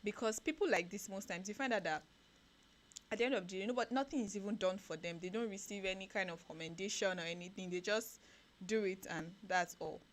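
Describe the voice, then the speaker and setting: A woman's voice: medium pitch, a little fast. A woman with a Nigerian English accent co-hosting a radio breakfast show, reading newspaper stories aloud and then commenting on them with growing energy. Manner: reads headlines then comments animatedly, rising when excited. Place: radio studio.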